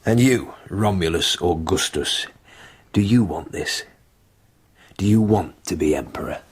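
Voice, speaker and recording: An actor playing a blunt, hard-bargaining barbarian army commander in a radio drama, measured and weighty. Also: male voice, low-pitched, husky. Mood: shrewd.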